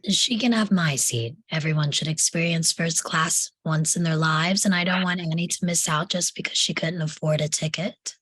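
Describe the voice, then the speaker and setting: A woman's voice: deep voice, normal speed, hardly any pauses, fairly bright tone, slightly rough, no room sound. A woman in a comedy table read playing a poised, wealthy, condescending friend in a breezy, patronizing way.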